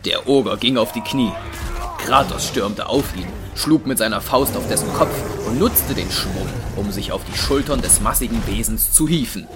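A male narrator with a fairly low voice. He delivers scripted dramatic storytelling, and music runs clearly behind his words. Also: moderate pace.

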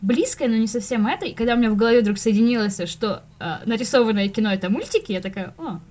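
Fast-talking young woman, casual storyteller. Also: on the high side, lively intonation, a little mellow, slight background noise. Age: late 20s to early 30s. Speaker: podcast guest, animator.

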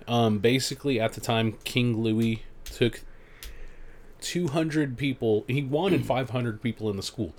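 A man's voice: mid-range voice, a little slow, darkish tone. A young man co-hosting a podcast, chatting loosely and swearing while he lays out the history. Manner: loose, rambling, laughs easily, swears. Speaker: podcast co-host. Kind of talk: casual chat.

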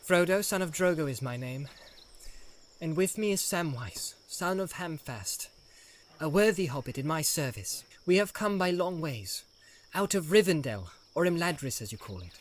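A guy doing a soft earnest young hobbit, pitched way up from his normal voice and kept quiet, formal and gentle, like a storybook reading. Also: lively intonation, normal speed, natural voice. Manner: soft, earnest storytelling voice raised higher than the narrator.

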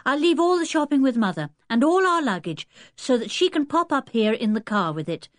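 A woman voicing a brisk, energetic teenage boy who calls out in a children's audiobook, with a British accent. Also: high-pitched, no echo, clean studio sound, voice pushed up. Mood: brisk, agreeable. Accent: British English. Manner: calling out, brisk. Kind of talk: children's audiobook character acting.

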